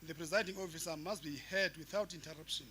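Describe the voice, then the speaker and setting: A man's voice: on the high side, fast talker, pushed and bright, slight background noise. Formal man reading rules. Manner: formal and procedural. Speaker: member of a legislature. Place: legislature chamber.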